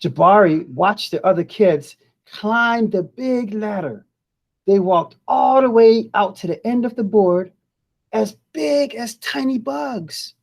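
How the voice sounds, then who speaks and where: A woman reading a children's storybook aloud expressively and deliberately, pausing to chat to viewers. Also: medium pitch, expressive, creaky, darkish tone.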